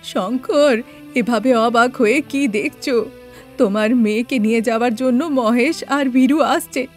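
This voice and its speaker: Cartoon priest female performer: male, pious, anxious, earnest, high register. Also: a little slow, soft background music, natural voice. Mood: anxious, urgent. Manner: urgent, earnest warning.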